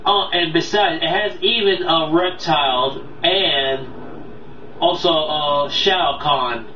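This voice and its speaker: A man hosting a casual countdown review, talking off the cuff in a rambling, halting way that often loses its thread. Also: fairly high pitch. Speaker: video countdown host and reviewer. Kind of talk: casual unscripted review talk to viewers. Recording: roomy, distant, dull mic, faint noise.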